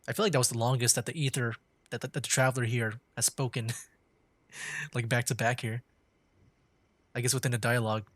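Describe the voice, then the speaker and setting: A man streaming a video game, chatting casually about it in a rambling, halting way and often repeating himself. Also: mid-range voice, fairly even intonation, fairly bright tone, no room sound. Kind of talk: casual chat and game commentary. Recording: faint game music behind. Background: video game music.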